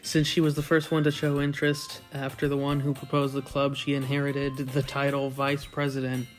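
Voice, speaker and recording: Jeune homme irrévérencieux lisant un jeu. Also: male voice, medium pitch, monotone, soft background music. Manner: crude, irreverent, quick asides. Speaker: let's play narrator. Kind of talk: reading a visual novel aloud with jokey asides.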